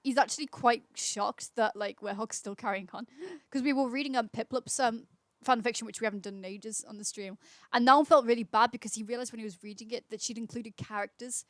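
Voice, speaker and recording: A woman's voice: fairly high pitch, fairly even intonation, a little fast, no echo, studio quality. A woman hosting a livestream, chatting casually and reading aloud informally, with small stumbles and self-corrections. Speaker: livestream host.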